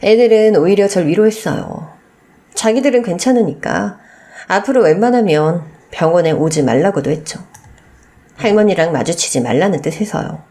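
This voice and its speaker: A woman's voice: lowish voice, lively intonation, moderate pace, warm tone. A woman reading scripted first-person dramatic narration as the narrator of a radio-story program.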